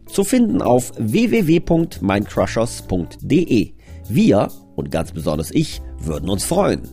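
A man's voice: mid-range voice, wildly swooping pitch, a little slow, dark tone, soft background music. A man presenting his own audio drama in a scripted but conversational trailer, explaining things earnestly.

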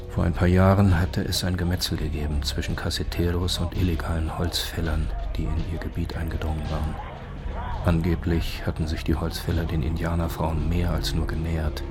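A man delivers scripted documentary narration. His voice is very deep and varies little in pitch, with clear music underneath. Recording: music behind the voice.

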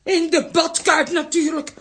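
A woman's voice: extremely high pitch, little pitch movement, a little slow, creaky, fairly bright tone, heavily acted. An actress playing a bossy, fussing, exclaiming woman in a children's radio play. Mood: alarmed, scolding.

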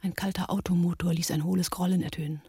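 An actress playing a tense woman in hiding, narrating in a hushed, breathy near-whisper in a radio drama. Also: on the low side, fairly even intonation, fast, noisy background, close to an everyday voice. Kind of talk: radio drama acting and first-person narration.

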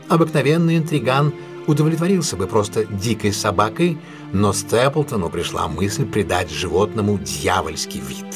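An actor playing a brilliant, assured detective who lays out a case in a deliberate, emphatic, analytical way in an audio drama. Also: male voice, medium pitch, lightly acted. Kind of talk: audio drama dialogue, expository monologue.

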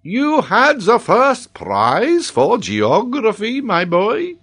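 A pompous, middle-aged geographer played by a male narrator in a storybook tale. The voice is pushed high and swoops widely, with emphatic, exclamatory, self-important professorial delivery. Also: wildly swooping pitch, slow, a bit bright, exaggerated voice. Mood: delighted, eager.

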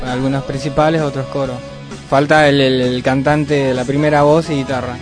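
A man, a band's bassist, talking haltingly as a radio guest with many fillers. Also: flat delivery, over music. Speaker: band bassist and singer, guest. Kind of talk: casual radio interview. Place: radio studio.

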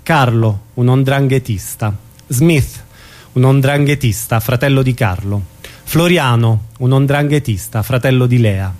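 A man's voice: mid-range voice, a little slow, a little mellow. A man reading a scripted introduction to a radio drama in a solemn, declamatory way, as narrator.

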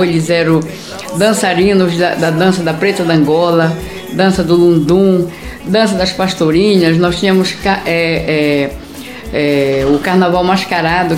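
A woman's voice: lowish voice, darkish tone. Female, middle-aged, northern Brazilian Pará accent. Unscripted interview guest, plain-spoken, roomy sound with music behind. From Brazil, quilombola community near Santarém in Pará. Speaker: singer-songwriter, interview guest. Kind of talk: spontaneous personal interview talk. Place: audio studio, for a radio programme.

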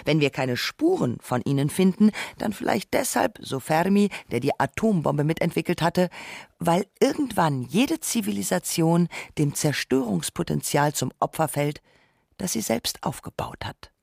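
Scripted radio essay narrator, creaky and breath-worn in tone, recorded with no room sound. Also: medium pitch, sing-song, moderate pace, darkish tone, dry recording, studio quality.

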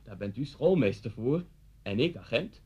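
A man's voice: mid-range voice, animated, normal speed, a little mellow, breathy edge. An actor playing a chatty, coolly menacing policeman in a radio thriller, polite and needling. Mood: chatty, casual. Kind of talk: 1970s Dutch radio play acting. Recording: dull 1970s radio recording.

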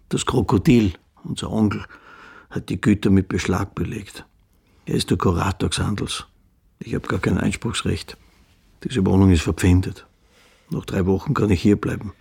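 An actor playing a ruined, bitter Austrian aristocrat in a radio play, with a gravelly, husky voice and a sardonic, declamatory manner. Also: male voice, low-pitched, steady tone, unhurried, pauses often, a little mellow, exaggerated voice. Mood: wry, self-mocking. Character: ruined, bitter, sardonic aristocratic count, theatrically self-mocking. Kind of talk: literary radio play monologue.

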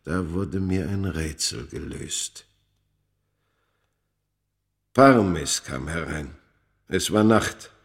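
A very low-voiced cursed man, telling his past in a classic radio play. He speaks slowly and deliberately, with grave literary weight and torment. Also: full character voice. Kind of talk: radio drama first-person narration.

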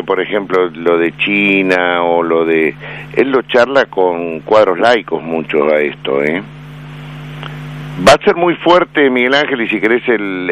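A man with an Argentine accent, commenting on a radio interview in a measured, hesitant way with many fillers. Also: deep voice, fairly bright tone. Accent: Argentine Spanish. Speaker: radio commentator on Vatican affairs. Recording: dull lo-fi AM radio broadcast with faint noise.

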